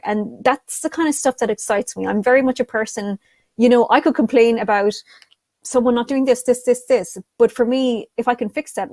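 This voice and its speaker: A woman's voice: fairly high pitch, darkish tone, no room sound. Young woman on a video call panel, eager, lots of likes and restarts, dry sound. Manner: enthusiastic, frequent fillers and restarts. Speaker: panelist, content creator. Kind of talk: online panel discussion.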